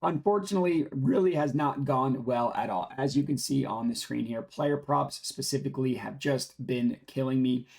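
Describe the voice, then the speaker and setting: A young man with a hoarse, raspy voice, worn down from yelling. He runs his own betting channel and talks through the odds in a brisk, upbeat, casual way. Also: mid-range voice. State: hoarse, lost voice from screaming. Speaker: sports betting channel host. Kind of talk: casual scripted betting picks talk.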